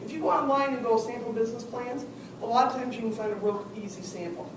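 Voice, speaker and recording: A woman teaching a small business class, hoarse from losing her voice, chatting and tossing questions to the room. She is heard from far off in a very echoey classroom with clear background noise. Her voice sits at an ordinary pitch. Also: quick pace. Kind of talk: classroom workshop instruction. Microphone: far camera.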